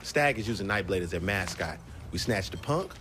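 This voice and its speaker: A man's voice: low-pitched, lively intonation, a little fast, background noise. An actor playing a streetwise male gang lieutenant who talks in slangy swagger.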